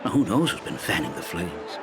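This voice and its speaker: A theatrical, cynical gossip from a video game. His mid-range voice rises and falls dramatically as he insinuates and hints. Clear music runs behind the dialogue. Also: sits low, sing-song, fairly quick. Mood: cynical, insinuating. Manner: rhetorical, insinuating. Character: theatrical, gossipy male city local, knowing and cynical.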